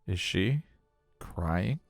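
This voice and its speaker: A man's voice: sits low, animated, extremely slow, creaky, dry recording, faint music behind. A man streaming a live read-aloud of a visual novel, mixing narration with casual chat.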